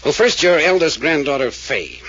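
A man's voice: on the high side, very animated, moderate pace, fairly bright tone. A man playing a blunt, probing private detective in a 1940s radio drama, questioning insistently. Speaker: hired detective. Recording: dull lo-fi old radio recording with faint hiss.

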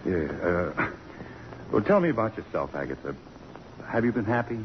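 Low male voice, wistful middle-aged college president, lively inflection, courtly, hesitant, 1950s radio drama. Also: moderate pace. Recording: dull, noisy vintage radio recording.

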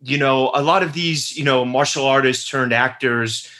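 A man's voice: medium pitch, moderate pace, bright, forceful tone. A man hosting a casual interview, effusive and gushing, stumbling over repeated words and calling his guest brother and dude. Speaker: podcast host.

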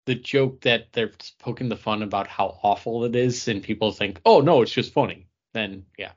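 A man's voice: on the low side, moderate pace. A man co-hosting a podcast, speaking in casual, bantering chat about geek culture. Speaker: podcast co-host. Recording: dull, lo-fi podcast audio.

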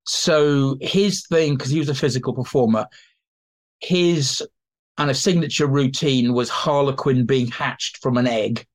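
A man with a medium-pitched voice talks as a knowledgeable interview guest. He explains things informally and anecdotally, in loose, colloquial sentences. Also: measured pace. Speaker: expert guest, theatre historian.

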